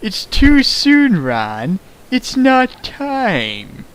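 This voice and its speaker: Man putting on a high, theatrical fantasy mistress. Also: wildly swooping pitch, extremely slow, fairly bright tone, clear, resonant tone, full character voice. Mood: airy, theatrical. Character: regal mistress woman in an anime fan story. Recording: hissy home recording.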